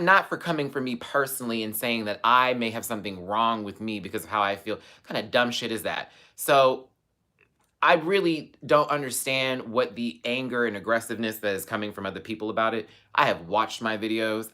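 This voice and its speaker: A man's voice: lowish voice, sharp tone. A man chatting casually on a livestream as host, venting to viewers in an emphatic, sassy, colloquial way and repeating phrases for stress.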